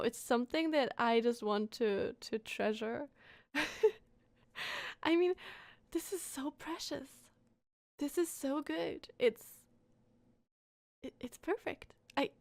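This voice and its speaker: A woman's voice: high voice, no room sound. A young woman reacting live to a game as a streamer, gushing and emotional.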